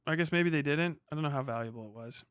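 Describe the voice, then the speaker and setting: A man's voice: fairly high pitch, quick pace, fairly bright tone, smooth, dry recording, clean studio sound. An American man hosting a livestream, talking casually and reacting to chat in a profane, slangy, rambling way. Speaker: livestream host. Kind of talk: casual livestream commentary, reading and answering chat.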